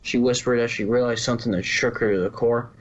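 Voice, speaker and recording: A man's voice: medium pitch, steady tone, fast talker, lo-fi sound. A man reading scripted story narration, now and then stumbling and rereading a phrase.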